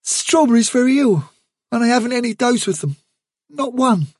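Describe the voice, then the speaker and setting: A man's voice: very high-pitched, lively intonation, no echo, voice pushed up. A man voicing a kind young male rabbit comforting a friend in a storybook reading. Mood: soothing. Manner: gentle, soothing, quick. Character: kindly young male rabbit, gentle and steady.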